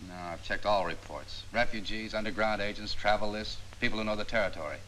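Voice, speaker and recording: An actor playing a confident, meticulous Army photo interpreter in a 1940s training film, explaining things precisely. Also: male voice, fairly low pitch, steady tone, a little fast, sharp tone, low-quality recording. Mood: self-assured. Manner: precise, self-assured, explanatory. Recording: 1940s film soundtrack with faint hiss.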